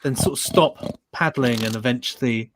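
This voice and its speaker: A man's voice: mid-range voice, normal speed, faint music behind. A British man co-hosting a podcast in casual, conversational chat. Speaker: podcast co-host.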